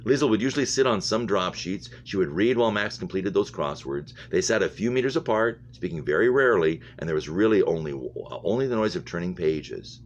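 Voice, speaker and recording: A man with a low, deep voice reads a novel aloud. He trips on words here and there and repeats phrases to get them right. The recording is dull and lo-fi, with a noticeable hum behind him. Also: expressive, fairly quick, hardly any pauses. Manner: stumbles over words and rereads phrases. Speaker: reader, English teacher. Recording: muffled lo-fi audio with humming noise.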